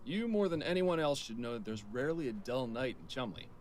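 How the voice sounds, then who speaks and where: A man's voice: mid-range voice, sing-song, brisk, slight background noise, natural voice. A man playing a dry, businesslike police detective in a voiced adventure game, asking things politely and to the point. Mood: dry, focused.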